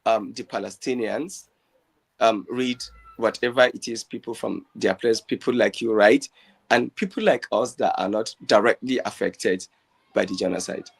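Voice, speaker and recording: A man's voice: on the low side, normal speed, gravelly, breathy edge. A man interviewing a guest, asking his questions hesitantly with many restarts.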